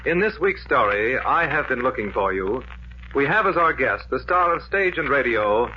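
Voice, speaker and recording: A man announcing a radio drama in a formal, measured 1940s broadcast style. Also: medium pitch, big pitch swings. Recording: muffled old radio broadcast, background noise.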